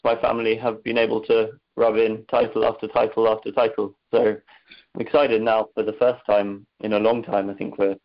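Irish guest, low and flat, understated, lo-fi. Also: male voice. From Ireland, Dublin. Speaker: podcast guest. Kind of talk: casual podcast chat.

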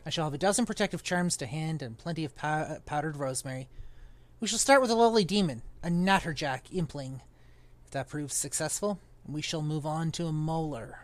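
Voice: medium pitch, fairly quick, close to an everyday voice. A reader voicing a pompous, grumpy older male magician who blusters disdainfully. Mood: lecturing.

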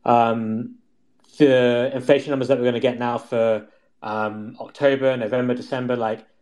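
A man's voice: medium pitch, little pitch movement, moderate pace, a little mellow. A man commenting on markets in a casual, explanatory way, often hedging and feeling his way through points.

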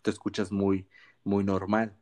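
A young man from Sinaloa with a Sinaloan Mexican accent, co-hosting a podcast and telling stories in relaxed, slangy chat. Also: on the low side, a little slow. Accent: Sinaloan Mexican Spanish. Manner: relaxed storytelling, frequent slang fillers. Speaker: podcast co-host. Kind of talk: casual chat.

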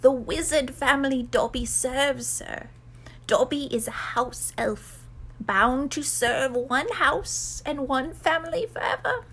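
Woman acting small male storybook elf, very high squeaky voice, servile, earnest, pleading. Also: slow pace, fairly bright tone, breathy edge, heavily acted. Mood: anxious, deferential. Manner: earnest, pleading, speaks of self in third person, deferential.

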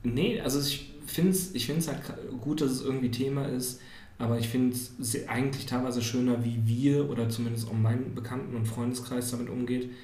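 A man's voice: medium pitch, fairly even intonation, moderate pace, a little mellow. A man in his thirties chatting casually, telling rambling stories full of fillers. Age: 30s. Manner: rambling, filler-heavy storytelling. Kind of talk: casual chat. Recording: echoey room with faint ambience.

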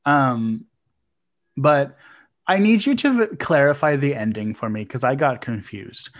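A man with an ordinary mid-range voice co-hosts a podcast. He talks in a relaxed, joking, easygoing way during casual chat. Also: mid-range voice, measured pace. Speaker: podcast co-host.

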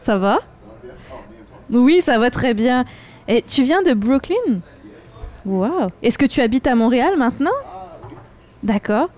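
A woman hosting an interview, reacting with quick enthusiasm and asking questions. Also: fairly high pitch, lively intonation, a little mellow. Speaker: host.

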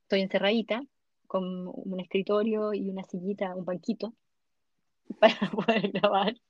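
A woman's voice: medium pitch, steady tone, normal speed, dry recording, highs cut off. A woman co-hosting a homemade podcast, from Venezuela and Chile, chatting casually from a small closet. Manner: chatty, laughs often. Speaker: podcast co-host. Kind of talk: casual chat. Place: small closet at home, at night.

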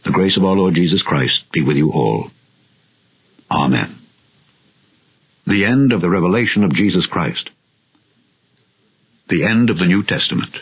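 A man narrating a dramatized scripture reading in a solemn, measured, formal manner. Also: low-pitched, lively intonation, breathy edge. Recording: dull lo-fi audio with music behind. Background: background music.

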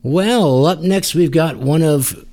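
A man's voice: on the high side, slow, dark tone. A man hosting a casual music podcast, chatting in a joking, rambling way. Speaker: podcast host.

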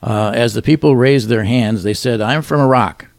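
Gravelly wry talk-show man. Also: mid-range voice, moderate pace, dry recording. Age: middle-aged. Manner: wry, deadpan punchlines, chuckles at own jokes. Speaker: radio show co-host. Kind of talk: joking news rundown.

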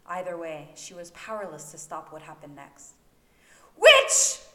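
A woman's voice: low voice, steady tone, moderate pace. A woman reading fiction aloud as a dramatic, scripted narration for an amateur home-recorded audio story. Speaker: fan fiction podfic narrator. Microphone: new home recording microphone. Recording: echoey room, faint background noise.

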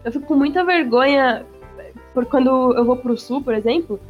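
A woman with a São Paulo accent chatting casually, full of slang and swearing, speeding up when she gets excited. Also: high voice, normal speed, dull audio, soft background music. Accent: São Paulo Portuguese. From São Paulo, Brazil. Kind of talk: casual chat.

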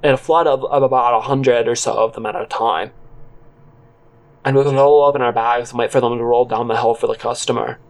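A man playing a disgruntled former fast-food worker, rambling with halting, deadpan earnestness in an audio drama monologue. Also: medium pitch, close to an everyday voice. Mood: earnest, aggrieved. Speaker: narrator and character in a solo audio drama.

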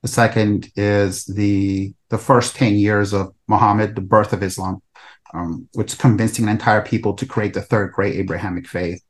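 A man's voice: fairly low pitch, fairly even intonation, normal speed. A middle-aged man from El Salvador, an author answering interview questions in a thoughtful, conversational way. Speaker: author and researcher guest.

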